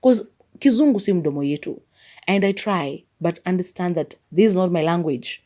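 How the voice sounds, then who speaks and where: A woman's voice: lowish voice, moderate pace, warm tone. A woman with a Kenyan accent, whose first language is Maragoli, rambling in a chatty way through a casual solo podcast as its host. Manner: chatty and rambling, leans on "like" as filler.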